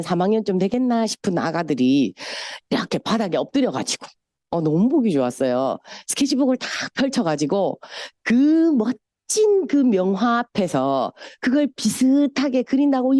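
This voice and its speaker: A woman's voice: mid-range voice, mellow, dry recording, studio quality. A middle-aged woman from Busan with a Gyeongsang accent, giving a chatty motivational seminar talk and telling stories. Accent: Gyeongsang Korean. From Busan, South Korea. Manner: chatty, storytelling, sometimes mimics voices. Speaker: speaker at a business seminar.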